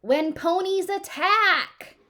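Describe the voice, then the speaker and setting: A woman's voice: extremely high pitch, slow pace, pushed and bright, pitched above the performer's own voice, exaggerated voice. A woman voicing a dramatic, stubborn, frightened little girl from a storybook, in a childlike, emphatic way. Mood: insistent, hollering.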